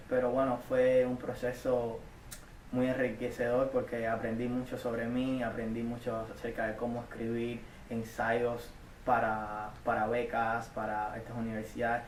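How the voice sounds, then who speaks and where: A young man from Cuba, a community college student giving earnest, halting interview answers full of restarts and repeated words. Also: mid-range voice, flat delivery, a little mellow, a little hiss or hum. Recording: echoey room, mic at a distance.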